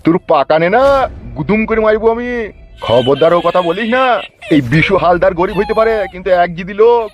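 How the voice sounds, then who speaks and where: A man's voice: high-pitched, fairly quick, close to an everyday voice. A man voicing a hot-tempered, stubbornly proud village father in a Bengali comedy cartoon, with a rural West Bengal accent and a blustering manner. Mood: angry, stubborn. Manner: blustering, threatening. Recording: dull lo-fi sound, faint music.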